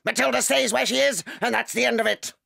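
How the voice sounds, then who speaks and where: An actress playing a bullying, child-hating British headmistress in a dramatized children's audiobook, domineering, blustering and contemptuous. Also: female voice, on the high side, steady tone, quick pace, vocal fry, bright, forceful tone, dry recording, clean studio sound, heavily acted. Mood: menacing. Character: tyrannical, bullying headmistress who despises children.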